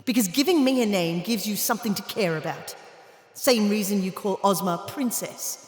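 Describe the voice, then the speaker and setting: A woman's voice: medium pitch, animated, normal speed, a little mellow, lightly acted. A woman playing a sardonic, lecturing witch in a fantasy audio drama, dry and taunting. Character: sardonic, lecturing witch, a voice inside a girl's head. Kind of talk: full-cast fantasy audio drama acting. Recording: echoey reverberant voice, faint music.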